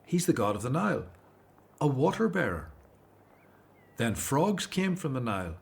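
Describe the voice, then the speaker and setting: A man acting in a radio drama as an earnest, devout Hebrew prophet and leader, speaking in a solemn, measured way. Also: mid-range voice, big pitch swings, gravelly, husky, heavily acted. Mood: earnest, grave.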